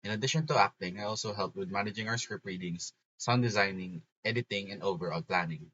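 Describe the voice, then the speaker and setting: Male cast member, deep bright-edged voice, scripted self-introduction, lo-fi sound with slight noise. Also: quick pace. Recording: dull lo-fi audio, faint noise.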